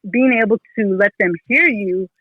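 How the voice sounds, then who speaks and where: A woman's voice: medium pitch, normal speed, husky, no room sound. A middle-aged woman, a retired police officer, giving casual interview advice in a rambling way, full of "you know" fillers and restarts. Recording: tinny phone line.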